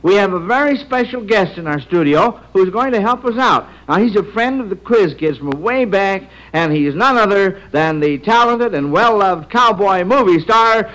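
A folksy man hosts an old-time radio quiz with a bright, forceful voice. His intonation leaps about in an animated way. He sounds jovial and likes to tease. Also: high voice, moderate pace, hardly any pauses, low-quality recording. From Indiana, United States. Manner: jovial, teasing, chuckling often; folksy, addresses children as son and honey. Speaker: quiz show host.

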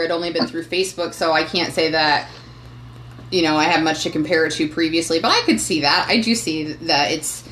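A woman hosts a casual podcast interview in a fairly low voice, asking long rambling questions full of restarts and fillers. The room around her is echoey, with a faint hum of background noise. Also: a bit bright. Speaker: podcast host.

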